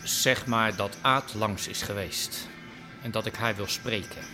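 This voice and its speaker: An actor playing a curt, commanding crime boss who runs a boxing school, in a radio drama. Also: male voice, on the low side, a little slow, lightly acted. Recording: music behind the voice.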